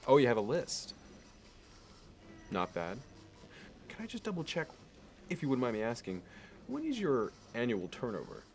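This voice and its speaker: A man playing a polished, courteous and meticulous finance executive in an ASMR roleplay, speaking in a measured, halting and formal way. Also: mid-range voice, huge pitch swings, a little mellow, a little hiss or hum. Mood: polite, businesslike. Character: polished, courteous, obsessively appraising male Manhattan finance executive.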